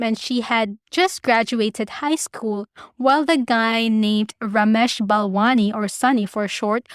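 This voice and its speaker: A woman's voice: fairly high pitch, no room sound, studio quality. A woman hosting a podcast, giving chatty, opinionated commentary and speaking casually straight to listeners. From Philippines. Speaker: podcast host.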